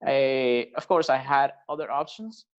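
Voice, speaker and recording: A man's voice: medium pitch, measured pace. Venezuelan-born man, slightly dull lo-fi sound. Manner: relaxed, hesitant with many fillers and restarts. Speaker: podcast guest, musician. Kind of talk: casual conversational interview.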